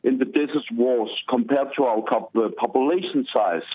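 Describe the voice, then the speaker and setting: A man's voice: mid-range voice, moderate pace. A man from Denmark with a Danish accent, calling into a talk show and speaking hesitantly with many fillers. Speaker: caller. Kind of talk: phone call-in chat. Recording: tinny phone line.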